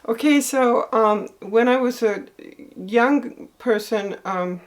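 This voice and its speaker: An older woman from northern Sweden with a Swedish accent, gently telling her life story as an interview guest. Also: a little high. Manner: gentle, unhurried storytelling with soft laughs.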